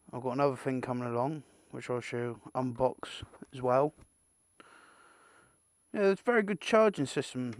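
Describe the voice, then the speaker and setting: A man's voice: medium pitch, no echo. British man, casual unboxing chat, dry sound. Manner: rambling, muttering asides to himself, mildly sweary. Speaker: amateur video creator presenting an unboxing.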